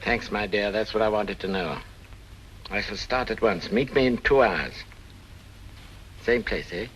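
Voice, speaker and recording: A low, mocking villain on the phone. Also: male voice, a little slow, fairly bright tone. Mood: suave, mocking. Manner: smooth, mocking endearments. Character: suave, mocking male criminal mastermind on the telephone. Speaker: actor in a detective drama. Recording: noisy old soundtrack, telephone-like voice.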